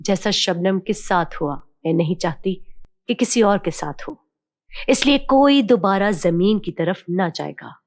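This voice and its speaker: A woman's voice: mid-range voice, lively intonation, measured pace, voice pushed down. A stern, angry-tempered fairy queen from a cartoon story, acted by a woman. She pronounces decrees with protective authority. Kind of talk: animated fairy-tale dialogue.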